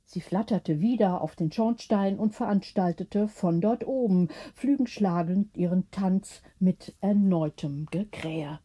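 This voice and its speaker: A woman's voice: mid-range voice, mellow. A woman reading a bedtime story as a scripted audiobook narration.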